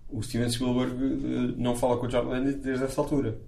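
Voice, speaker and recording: A man's voice: medium pitch, little pitch movement, fairly quick, gravelly, warm tone. Man with European Portuguese accent, noisy recording. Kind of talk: casual chat about films.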